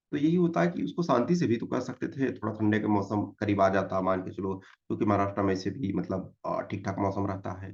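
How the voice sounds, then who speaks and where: Indian male exam tutor, informal and explanatory, creaky voice, mid pitch, on a dull lo-fi mic. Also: darkish tone. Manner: informal, mixes Hindi with English terms, reads questions aloud slowly. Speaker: exam coaching teacher. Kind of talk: explanatory lecture working through exam questions. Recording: dull, lo-fi microphone sound.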